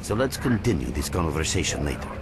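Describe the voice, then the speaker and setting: An actor playing a grave, middle-aged group leader in a video game, speaking with measured authority. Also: male voice, very deep voice, sing-song. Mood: serious, measured. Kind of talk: video game character acting. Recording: heavy noisy ambience behind the voice.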